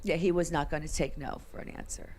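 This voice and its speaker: A woman's voice: low voice, steady tone, fairly quick, a little mellow. A woman from New Jersey, a guest on a talk show, earnestly telling stories about her parents. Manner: earnest, affirming, brief yes answers. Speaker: featured guest. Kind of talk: interview, family storytelling.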